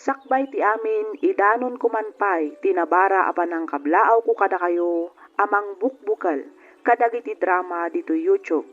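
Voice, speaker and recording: An actor playing a humble letter sender who narrates his story for a radio drama, formal and measured. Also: sits high, a bit bright. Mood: earnest. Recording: dull lo-fi radio recording. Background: music.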